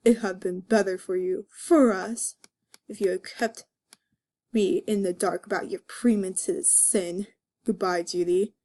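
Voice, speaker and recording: A woman's voice: medium pitch, fairly even intonation, unhurried, dark tone, voice pushed down, natural voice. A woman voicing an earnest, wounded male cartoon fox with a solemn, theatrical delivery in a comic reading. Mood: bitter, resigned. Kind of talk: melodramatic comic dramatic reading.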